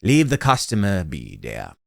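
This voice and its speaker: Gentle, puzzled storybook husband, acted by a male narrator: low, hesitant, soothing. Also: wildly swooping pitch, unhurried, gravelly, dry recording, clean studio sound, full character voice. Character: mild, bemused husband and shopkeeper, calmly soothing.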